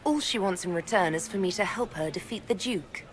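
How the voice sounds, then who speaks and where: A woman's voice: medium pitch, a little fast, slight background noise, natural voice. An actress playing a wary female leader with a dry wit in a video game cutscene. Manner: guarded, dry.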